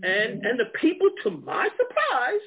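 A man's voice: very high-pitched, wildly swooping pitch, unhurried, husky. A man with a New York accent discussing education funding earnestly, often restarting his words. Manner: earnest, many repeated restarts. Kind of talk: animated discussion on a call. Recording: tinny phone-like line.

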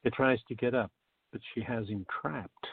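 Creaky-voiced male narrator, muffled sound. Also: mid-range voice, normal speed, no room sound. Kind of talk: scripted narration of an audio farce. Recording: dull, muffled lo-fi recording.

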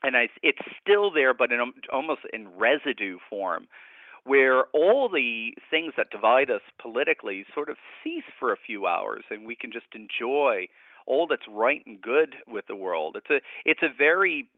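A man's voice: medium pitch, big pitch swings, normal speed. Heard over a thin, phone-like line with no room echo, a man talks as a scholarly radio guest. He explains his ideas conversationally, in a reflective and academic manner. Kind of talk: conversational analysis in a radio interview. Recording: narrow, tinny phone-like line.

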